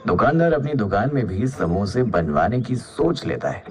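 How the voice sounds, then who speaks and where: A man's voice: lowish voice, sing-song, normal speed, over music. A man narrating a scripted children's cartoon story as its storyteller.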